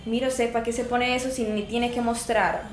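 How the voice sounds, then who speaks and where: A woman's voice: on the high side, fairly even intonation, fairly quick, faint music behind. Chatty Colombian woman in her twenties. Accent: Colombian Spanish. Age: 20s. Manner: chatty, laughs often, storytelling. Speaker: podcast co-host. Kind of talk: casual chat. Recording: echoey room with faint ambience.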